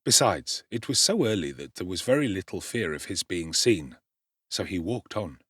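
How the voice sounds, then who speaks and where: A man narrates a classic novel in a theatrical, expressive style. His voice sits low but swings widely in pitch. He was recorded on a close studio mic in a dry, dead room. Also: normal speed, breathy edge, no echo. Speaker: audiobook narrator. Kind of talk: dramatic audiobook reading.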